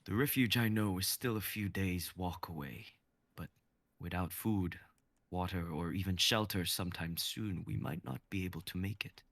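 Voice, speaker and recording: Guang, a calm and steady male guide, read by a man in a low, gravelly voice with dry close sound. He gives counsel in a measured way. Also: no echo, exaggerated voice.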